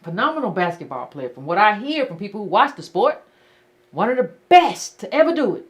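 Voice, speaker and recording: A woman's voice: medium pitch, very animated, a little fast. A woman hosting a call-in show, talking bluntly and emphatically and repeating her points to callers. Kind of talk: casual call-in talk.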